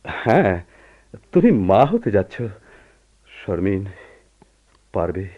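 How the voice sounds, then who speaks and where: An actor playing a steadfast, forgiving husband in a radio drama, speaking in an earnest, measured, dramatic way. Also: male voice, low-pitched, huge pitch swings, exaggerated voice. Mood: earnest, pleading. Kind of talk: Bengali radio drama acting. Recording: dull, muffled old radio drama recording.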